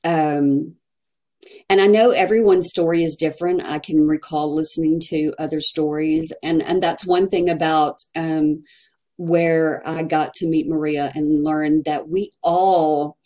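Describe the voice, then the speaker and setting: A woman from Texas with a Texan accent, a podcast guest sharing her story in a hesitant way with many pauses. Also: sits low, steady tone. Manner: soft-spoken, hesitant, many pauses and fillers. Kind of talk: personal testimony in a podcast interview.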